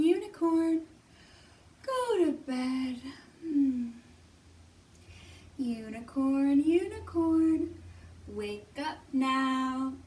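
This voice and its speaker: A woman hosting a children's storytime, reading aloud and leading action rhymes with expressive, simple phrasing for little listeners. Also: high voice. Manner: expressive, simple instructions addressed to small children. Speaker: children's librarian and storytime host. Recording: distant microphone.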